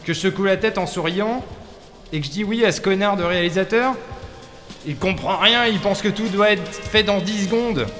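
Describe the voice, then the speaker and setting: A man's voice: sits high, moderate pace, fairly bright tone. Bitter set decorator in heavy reverb, acted by a man. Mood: bitter, scornful. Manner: sardonic, repetitive, theatrical. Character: bitter, weary film set decorator venting in monologue. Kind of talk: radio play monologue. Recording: long reverb, faint music behind.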